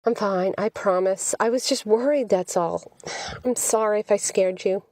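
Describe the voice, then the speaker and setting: A woman's voice: mid-range voice, moderate pace, darkish tone, no echo, lightly acted. A woman voicing an anxious, protective young man in an audiobook, with an earnest, pleading manner. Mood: reassuring.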